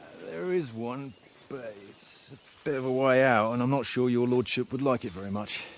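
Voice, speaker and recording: An actor playing a stern, wary guard captain in a game, speaking in a curt, formal and polite way. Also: male voice, medium pitch, normal speed, slight background noise, natural voice. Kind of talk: video game cutscene acting.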